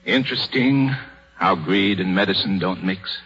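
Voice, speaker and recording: An actor playing an aging, anxious surgeon full of regret and self-pity, husky, in a 1930s radio drama. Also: male voice, low-pitched, measured pace, a bit bright, full character voice. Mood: despairing. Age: older. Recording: dull 1930s radio broadcast with music.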